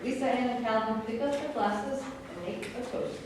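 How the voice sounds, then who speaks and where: A woman's voice: fairly high pitch, moderate pace. A woman narrating scene settings and stage directions from a script at a staged reading. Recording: echoey room, distant mic, noisy.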